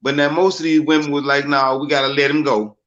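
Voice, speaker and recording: Casual stream host: a man who restarts and repeats himself, slangy, higher pitch, muffled lo-fi sound. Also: flat delivery, fast, a bit bright. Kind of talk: casual live-stream chat.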